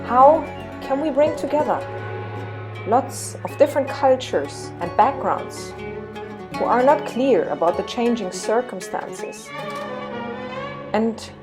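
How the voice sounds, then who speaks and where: A woman with a German accent reading a slow, reflective scripted voice-over. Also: fairly high pitch, lively intonation. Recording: voice-over with music bed.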